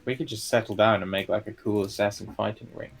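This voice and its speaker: A man's voice: on the low side, steady tone, fast, vocal fry, slightly rough. A man playing a tabletop game, joking in an offhand way. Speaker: player. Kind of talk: casual table talk. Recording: faint music behind.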